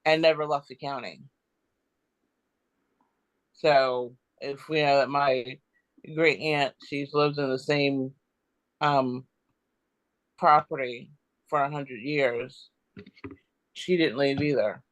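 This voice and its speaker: Man, bright forceful voice, slow pace, casual, halting, thinking aloud. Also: mid-range voice, steady tone, low-quality recording. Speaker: participant in a genealogy group discussion. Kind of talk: casual chat.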